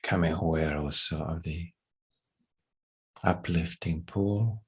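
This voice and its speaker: Very deep, husky man guiding meditation. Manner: hushed, unhurried with long pauses. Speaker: meditation guide. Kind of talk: guided meditation.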